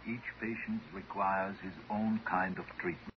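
Detached male psychoanalyst, low voice, measured, clinical, 1950s radio drama, muffled hissy recording. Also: steady tone. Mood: cool, clinical.

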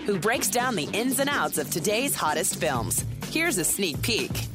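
A woman's voice: mid-range voice, very animated, measured pace. A woman reading a scripted radio promo for a podcast. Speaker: advert announcer. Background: loud music.

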